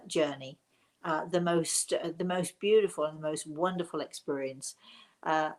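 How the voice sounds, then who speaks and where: An older woman hosting a casual live stream, talking in a halting way and often repeating words as she goes. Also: on the low side. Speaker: host. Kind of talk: casual live-stream talk answering viewer comments.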